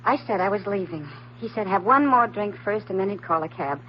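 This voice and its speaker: A woman's voice: mid-range voice, animated, fairly quick, lightly acted. An actress as a naive, frightened woman in an old radio mystery. Her mid-range voice is hesitant and earnest, pleading her case. The sound is muffled, with a faint hum underneath. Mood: shaken, hesitant. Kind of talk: old-time radio drama acting. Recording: dull, slightly noisy old radio recording.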